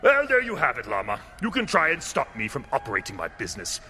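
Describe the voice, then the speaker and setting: Pompous radio villain, wildly swooping voice. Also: male voice, mid-range voice, huge pitch swings, fast, bright, forceful tone, full character voice. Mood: smug gloating. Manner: pompous, taunting, theatrical. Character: smug gloating male villain posing as legitimate businessman. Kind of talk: radio drama acting. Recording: music under the voice.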